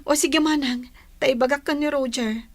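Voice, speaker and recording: A woman plays a young, long-suffering village wife with an Ilocano accent. Her voice sits high, with a pleading, homesick tone suited to radio drama. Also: steady tone, normal speed, no echo. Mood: homesick, obliging.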